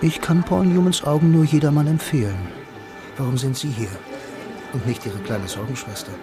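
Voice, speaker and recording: An actor playing a blind, film-loving loner, narrating a radio play in a dry, wry, laconic manner. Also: male voice, medium pitch, fairly even intonation, normal speed, lightly acted. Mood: dry, probing. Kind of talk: first-person radio drama narration and dialogue. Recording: loud music behind the voice.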